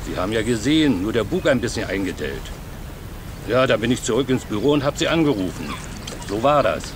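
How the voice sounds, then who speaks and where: An actor playing a gruff, excitable harbourmaster in a radio play, talking in blunt colloquial bursts. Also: male voice, on the low side, brisk. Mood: agitated, blunt. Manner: blunt, colloquial, clipped phrases. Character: gruff, excitable male harbourmaster giving witness account. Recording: noisy waterside sound effects behind.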